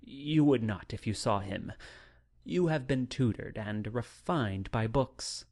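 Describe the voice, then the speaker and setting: A male narrator reads a classic novel in a medium-pitched voice. His pace is very slow and deliberate. The delivery is solemn, literary and dramatic. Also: lively intonation, a little mellow. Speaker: audiobook narrator.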